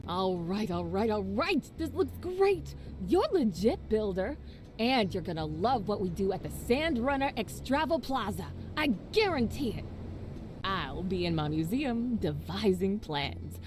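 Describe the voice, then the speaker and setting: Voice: medium pitch, sing-song, unhurried, noisy background. An actor playing a brash, enthusiastic small-town promoter in a video game, with a boastful, folksy sales manner. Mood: confident, enthused. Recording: game dialogue over background music.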